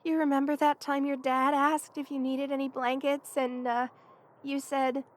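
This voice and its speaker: High-voiced, affectionate young snake girl played by a woman. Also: flat delivery, normal speed, close to an everyday voice. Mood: cosy, grateful. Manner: hesitant, soft-hearted, stammering as if shivering. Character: young female lamia, shy affectionate childhood best friend. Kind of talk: intimate ASMR roleplay.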